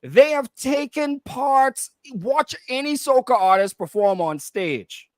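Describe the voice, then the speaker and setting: A man co-hosting a casual radio discussion, working through his points haltingly and rephrasing them. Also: very high-pitched, expressive, dry recording. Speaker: radio talk show co-host.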